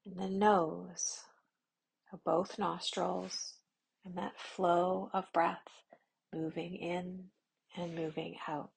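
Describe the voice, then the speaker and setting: A woman leads a guided meditation at a very slow, unhurried pace. Her voice is low, husky and a little gravelly, with long pauses and some phrases sinking into a whisper. She keeps her tone flat and even, with little rise and fall. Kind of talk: guided yoga nidra meditation.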